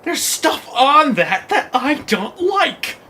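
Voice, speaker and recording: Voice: high voice, sing-song, takes it slowly, background noise, full character voice. Demanding, hungry cartoon troll. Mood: picky, displeased. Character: hungry, demanding, gruff pizza troll, impatient. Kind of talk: fan audio drama acting. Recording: music behind the voice.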